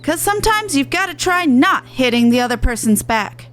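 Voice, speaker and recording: A young catfolk resistance fighter, acted by a woman, frank and tender-hearted underneath. Her voice is high and her speech colloquial, over soft game music. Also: lively intonation, moderate pace. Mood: frank, forgiving. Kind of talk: video game dialogue read aloud.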